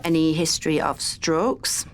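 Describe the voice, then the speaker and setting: A woman's voice: sits low, fairly even intonation, a little slow, slight background noise. A woman playing a research assistant in an audio drama, professional, matter-of-fact and soothing. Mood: brisk, polite. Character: brisk, reassuring female research assistant at a university.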